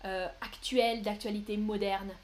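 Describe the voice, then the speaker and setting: A woman's voice: medium pitch, level tone. A young woman hosting a live French lesson, patiently answering viewers' questions from the chat and spelling out examples. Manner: slow, clear and patient, often reading chat names aloud.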